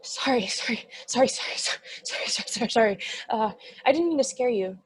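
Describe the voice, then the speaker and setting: A woman's voice: a little high, lively intonation, quick pace, breathy edge, in a whisper. A woman playing an insecure thirteen-year-old demon, timid and apologetic, in a comedy script read.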